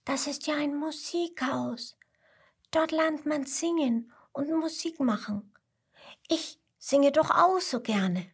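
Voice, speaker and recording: A woman voicing a stubborn, eager little koala boy in a children's story, speaking in an emphatic, excitable, childlike way. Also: high voice, takes it slowly, pitched above the performer's own voice. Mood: amazed, dreamy.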